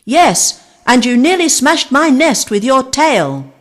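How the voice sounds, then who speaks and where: A woman's voice: high-pitched, sing-song, slow pace, voice pushed up, full character voice. A woman doing a grumpy, rude little bird-dinosaur. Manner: rude, snappy, scolding. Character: grumpy rude male archaeopteryx, small bird-like.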